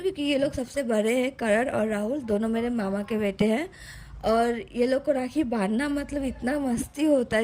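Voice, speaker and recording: A young woman narrates a casual vlog voice-over in a chatty, informal way, mixing in English words, her throat slightly hoarse and tired. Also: fairly high pitch, darkish tone, a little hiss or hum. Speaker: vlogger.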